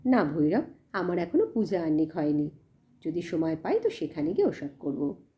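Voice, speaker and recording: A woman voicing a gentle, determined, generous village woman in an audio story, kindly and resolute. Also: fairly low pitch, very animated, moderate pace. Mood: eager, resolute.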